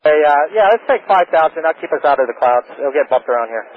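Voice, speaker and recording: A man's voice: fairly high pitch, animated, quick pace. A man speaking as an airline pilot, with informal, plain phrasing over a cockpit radio. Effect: cockpit aviation radio. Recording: narrow-band aviation radio, faint static.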